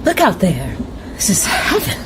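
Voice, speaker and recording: An actress playing a desperate, pleading young woman on the run, with a breathy, hushed delivery in a radio drama. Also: medium pitch, huge pitch swings, measured pace. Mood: relieved, confiding. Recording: noisy radio drama with music behind.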